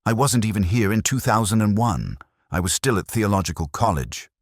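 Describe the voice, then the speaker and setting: Man playing nervous young curate, voice pushed high. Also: animated, brisk, no room sound, clean studio sound. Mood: frightened protest.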